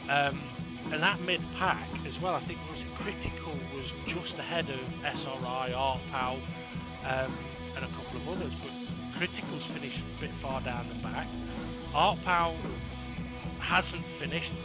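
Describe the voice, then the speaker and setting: A man commentating on an online sim race, chatty and excitable, with lots of ums. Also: on the high side, unhurried, poor audio. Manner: excitable, chatty, with many fillers. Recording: loud music under the voice.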